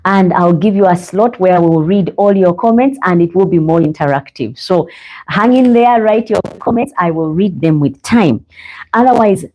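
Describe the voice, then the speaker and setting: A woman's voice: on the low side, moderate pace, darkish tone, highs cut off. Ugandan woman hosting a faith podcast. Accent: Ugandan English. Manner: earnest, preachy, addresses viewers as guys. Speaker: podcast host. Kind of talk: live faith podcast monologue. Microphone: own microphone, sometimes adjusted.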